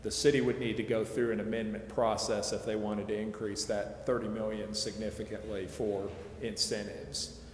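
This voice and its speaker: Low-voiced man explaining in an echoey hall. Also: level tone, moderate pace, few pauses. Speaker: presenter answering committee questions on project financing. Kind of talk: explanatory public meeting presentation. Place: large echoey council chamber. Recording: echoey hall reverb, clear background noise.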